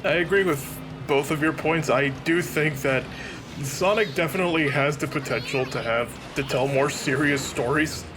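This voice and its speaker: Higher-pitched man doing wry game commentary. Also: moderate pace, over music. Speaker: let's play commentator. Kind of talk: casual video game commentary.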